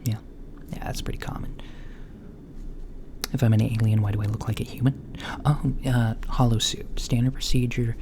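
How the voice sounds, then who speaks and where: A man playing a mild, puzzled alien doctor in a soft, breathy, often whispered ASMR roleplay, with hesitant, gently deadpan murmuring. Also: mid-range voice, normal speed, close to an everyday voice. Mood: calm, attentive. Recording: lo-fi home recording, faint background noise.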